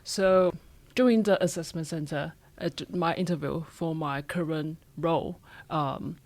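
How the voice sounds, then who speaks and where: A man's voice: fairly high pitch, steady tone, a little slow, no room sound. A young man speaking English as a second language, answering as an interview guest carefully and hesitantly with many fillers. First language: not English. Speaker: interview guest, university graduate. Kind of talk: casual conversational answer.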